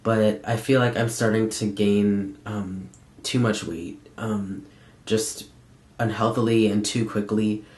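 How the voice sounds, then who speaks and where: Young male vlogger, lowish pitch, candid and halting conversational delivery, roomy echo. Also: steady tone, a little mellow. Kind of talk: casual personal update vlog. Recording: echoey room sound.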